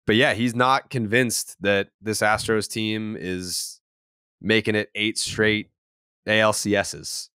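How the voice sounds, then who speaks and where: Guy hosting a baseball podcast, forceful bright voice, kinda low, dry close sound. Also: clean tone, no echo. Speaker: sports podcast host. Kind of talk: casual baseball podcast chat.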